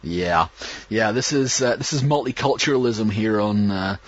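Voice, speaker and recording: A man's voice: fairly low pitch, moderate pace, dry recording. A man hosting a podcast, introducing an interview in a loose, rambling way. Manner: rambling, with many restarts and fillers. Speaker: podcast host. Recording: dull sound.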